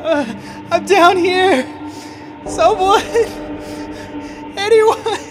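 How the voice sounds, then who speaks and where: A woman's voice: extremely high pitch, drawn-out words, music in the background, full character voice. An actress playing a despairing young woman trapped in a nightmare, pleading for help. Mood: panicked. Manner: frightened, pleading, cries for help.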